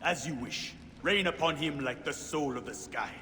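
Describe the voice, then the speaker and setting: An actor playing a fierce, impatient rebel warrior of ancient Egypt in a video game, commanding and emphatic. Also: male voice, fairly high pitch, moderate pace, pushed and bright, long reverb tail, a little hiss or hum. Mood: urgent, defiant. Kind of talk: video game cutscene acting.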